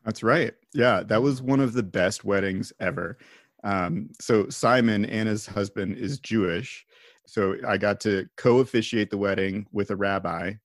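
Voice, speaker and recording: A man's voice: on the low side, unhurried, dry recording, clean studio sound. A man hosting a podcast as a pastor, chatting casually, laughing often and speaking loosely with fillers. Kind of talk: casual chat. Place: remote call.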